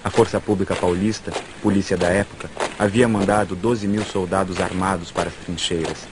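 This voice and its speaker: A man's voice: low-pitched, steady tone, brisk, background noise. A man with a Brazilian accent delivering scripted documentary narration. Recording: voice over loud music. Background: prominent background music.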